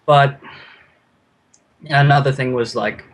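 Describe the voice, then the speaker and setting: A man's voice: medium pitch, steady tone, takes it slowly. A man in casual conversation, talking in an informal, effusive and rambling way. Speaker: podcast guest and YouTuber. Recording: noisy, ambient background noise.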